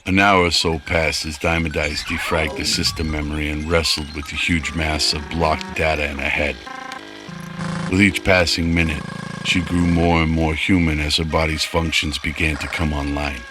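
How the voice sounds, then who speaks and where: A man's voice: very deep voice, level tone, normal speed, music in the background. A man narrating a scripted audio drama as its storyteller.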